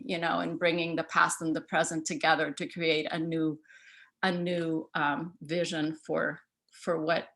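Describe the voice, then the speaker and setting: Bright-voiced woman presenting over a call. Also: lowish voice. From El Salvador. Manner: conversational, many fillers and restarts. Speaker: artist giving a talk. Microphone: video call.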